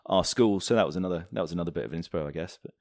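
Fast-talking, low-voiced man telling stories. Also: vocal fry, dry recording. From Hong Kong. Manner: animated, chuckles as he tells anecdotes. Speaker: interview guest, former fighter pilot. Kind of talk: casual storytelling in a podcast interview.

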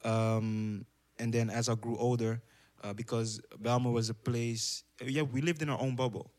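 A man's voice: medium pitch, fairly even intonation, normal speed, a little mellow. A young man from the Netherlands with a Dutch accent, a podcast guest speaking English as a second language, thoughtful and searching for words. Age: young adult. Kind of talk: casual conversation.

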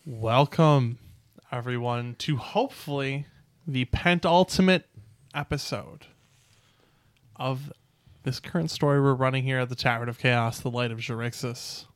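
A man's voice: medium pitch, measured pace, dry recording. A young man hosting a tabletop game podcast as Dungeon Master, talking loosely and joking with his friends. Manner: loose, self-correcting, joking. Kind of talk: casual podcast chat. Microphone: studio mic.

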